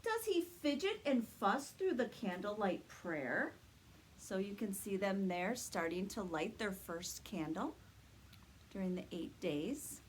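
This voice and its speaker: Slow, animated woman hosting children's story time. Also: medium pitch, slight background noise, rough recording. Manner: sing-song, encouraging, addressing small children; explains simply, step by step. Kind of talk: read-aloud story time for young children.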